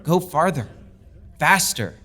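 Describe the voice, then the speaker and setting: A man's voice: on the high side, animated, slow pace, pushed and bright, husky, exaggerated voice. A man playing an earnest young lieutenant. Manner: earnest, persuasive speech-making. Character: young male lieutenant, passionate advocate for his people. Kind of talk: audio drama acting.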